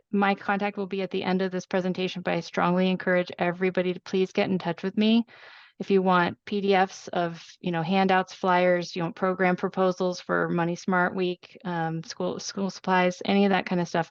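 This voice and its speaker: Gravelly low-voiced woman presenter. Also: dry recording, lo-fi sound. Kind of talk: webinar presentation.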